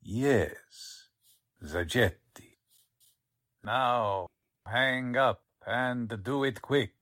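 A man playing a violent, threatening con artist posing as a count in an old-style radio drama, speaking deliberately. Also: medium pitch, a bit bright. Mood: cold menace. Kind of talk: 1940s radio drama acting.

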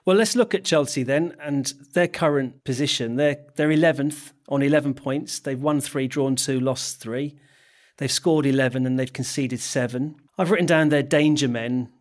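A man's voice: medium pitch, normal speed. A man co-hosting a casual football podcast, chatty and laughing often, with hesitant restarts as he reads out stats. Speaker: podcast co-host.

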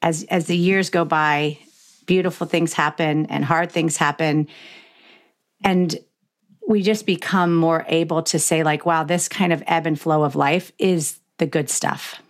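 A woman's voice: low voice, fairly even intonation, a little slow. A middle-aged woman hosting a heartfelt conversational interview, reflective and acting out imagined remarks as she talks. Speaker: podcast host interviewing a guest.

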